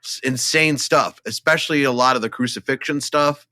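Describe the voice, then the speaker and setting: A man's voice: mid-range voice, moderate pace, bright, forceful tone, no echo, clean studio sound. A man co-hosting a casual film podcast, talking enthusiastically and emphatically in a conversational way. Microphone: studio microphone, close.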